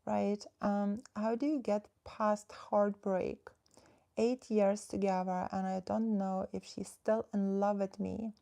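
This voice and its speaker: A woman's voice: medium pitch, flat delivery, slow pace, gravelly, dark tone. A woman from the Netherlands, a relationship coach, chatting casually on a livestream, reading viewers' comments and giving direct advice.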